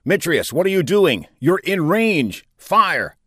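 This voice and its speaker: A tense, commanding starship captain, acted by a male narrator, barking curt orders with authority. His voice is raised and lively, recorded dry for a sci-fi audiobook. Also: a little high, a little fast, dry recording, voice pushed up, natural voice. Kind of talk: science fiction audiobook character.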